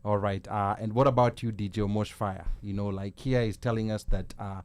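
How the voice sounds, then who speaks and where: A man's voice: lowish voice, steady tone, fairly quick, a little mellow, clear, resonant tone. A man with a Kenyan accent hosting a live talk show, conversational, mixing Swahili words into English. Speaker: host.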